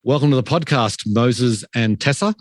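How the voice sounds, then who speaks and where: A man's voice: medium pitch, normal speed, soft background music. A man hosting a podcast, reading an upbeat introduction and then asking interview questions. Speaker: podcast host.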